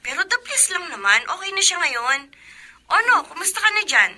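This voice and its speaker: A woman's voice: extremely high pitch, sing-song, fairly quick, pushed and bright, full character voice. A woman playing a perky young college friend who likes to give cautions in a Filipino radio drama. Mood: chatty.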